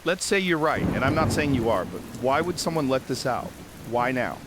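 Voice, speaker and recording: A man's voice: medium pitch, sing-song, moderate pace, close to an everyday voice. A man playing a level-headed, skeptical host of an apocalypse podcast drama, conversational and probing. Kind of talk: fictional apocalypse podcast interview. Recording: noisy audio with rain. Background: rain.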